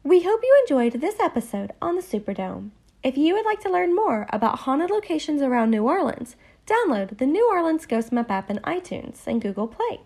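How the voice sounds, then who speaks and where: A woman co-hosting a podcast, reading a scripted history with lively, earnest emphasis. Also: high voice, moderate pace. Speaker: podcast co-host. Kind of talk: scripted narration.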